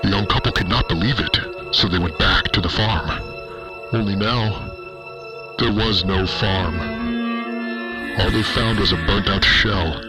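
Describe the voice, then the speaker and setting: A man's voice: on the low side, unhurried, pauses often, loud music behind the voice, natural voice. A man playing a brooding young space villain, solemnly narrating a radio-style ghost story with self-importance. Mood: solemn, spooky.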